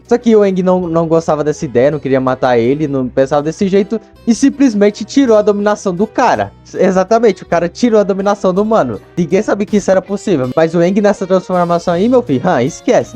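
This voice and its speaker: Brazilian male video creator, higher-pitched, quick delivery, informal and slangy, mellow tone. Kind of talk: casual video commentary on a cartoon.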